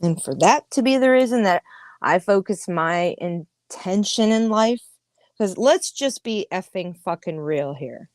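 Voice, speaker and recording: A woman's voice: medium pitch, lively intonation, measured pace, no echo, clean studio sound. A woman in her thirties hosting a podcast, talking candidly and emphatically in a casual livestream monologue, with frequent swearing. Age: 30s. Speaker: podcast host.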